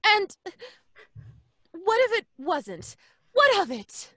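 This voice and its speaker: A woman's voice: very high-pitched, sing-song, slow pace, fairly bright tone, dry recording, studio quality, exaggerated voice. A woman playing a brash, impatient, scornful young working woman, snappy and exasperated, in old-time radio drama style.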